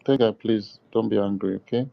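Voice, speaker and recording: Man, heavy vocal fry, even low delivery, online host, casual and apologetic, lo-fi call audio. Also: moderate pace. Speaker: host moderating an online gathering. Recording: dull lo-fi internet call audio.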